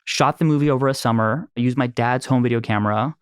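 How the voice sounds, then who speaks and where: A smooth-voiced man telling an animated story. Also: medium pitch, fast, no echo, studio quality. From Los Angeles. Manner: animated storyteller, laughs easily. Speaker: filmmaker and producer. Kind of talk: casual conversation, storytelling.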